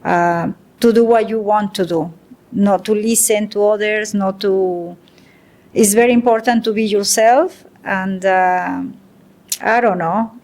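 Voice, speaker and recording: A woman's voice: medium pitch, fairly even intonation, measured pace, darkish tone. A woman, a guest artist talking reflectively in a casual interview, with many hesitant fillers. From Mexico. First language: Spanish.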